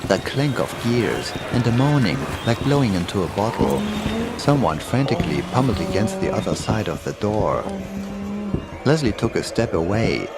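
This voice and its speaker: Guy doing ominous horror story narration, lowish voice, really animated intonation, creepy music behind him. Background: creepy music and sound effects.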